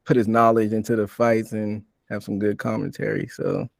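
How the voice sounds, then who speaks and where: A man's voice: lowish voice, level tone, moderate pace, gravelly, no echo, studio quality. A man hosting a sports podcast, talking casually through a recap and putting questions out for discussion. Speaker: podcast host.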